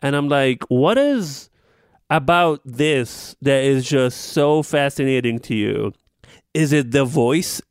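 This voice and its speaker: Smooth-voiced man co-hosting a podcast. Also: medium pitch, expressive, slow pace, no echo, studio quality. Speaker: podcast co-host. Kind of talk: casual chat.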